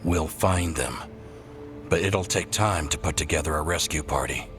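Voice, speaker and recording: A stern commander of forest scouts in a fantasy game. His voice is extremely deep and gruff, his speech terse. Music plays behind him. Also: moderate pace. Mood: grim, commanding. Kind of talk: fantasy video game acting.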